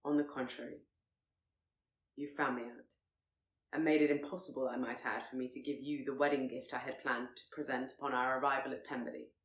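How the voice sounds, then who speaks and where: A woman voicing a proud, reserved and brooding Regency gentleman who speaks formally and gravely. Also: extremely low pitch, level tone, fairly quick, reverberant, voice pushed down. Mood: wry.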